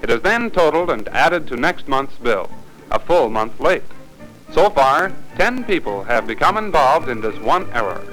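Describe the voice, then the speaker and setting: A man with an American accent narrates a 1950s training film in a formal, instructive way. His mid-range voice moves with lively inflection. Light music sits behind it on the old soundtrack. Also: mid-range voice, moderate pace, low-quality recording.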